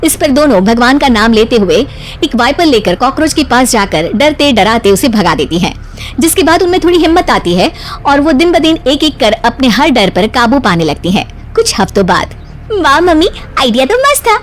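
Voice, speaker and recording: Animated woman narrating a cartoon story. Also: on the high side, normal speed, slight background noise.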